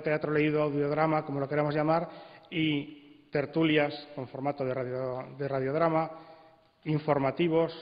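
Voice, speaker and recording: A man giving a persuasive spoken argument as a panelist at a conference. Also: fairly high pitch. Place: conference hall, amplified event. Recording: dull, reverberant hall recording.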